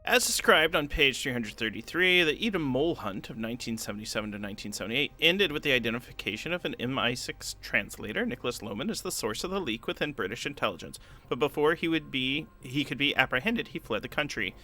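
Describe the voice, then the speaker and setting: A man's voice: medium pitch, lively intonation, fast, hardly any pauses, sharp tone. A man preparing his tabletop campaign on a stream, thinking aloud and reading long rulebook passages, now and then humming to himself. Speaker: game master prepping a campaign. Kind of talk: casual solo prep stream, reading rules aloud. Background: background music.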